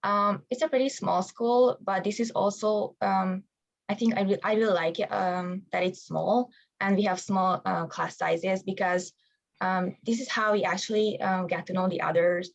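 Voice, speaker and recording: A woman's voice: medium pitch, steady tone, moderate pace, gravelly. A young woman from Hungary with a Hungarian accent, a student-athlete answering interview questions in English with many hesitant fillers. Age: young adult.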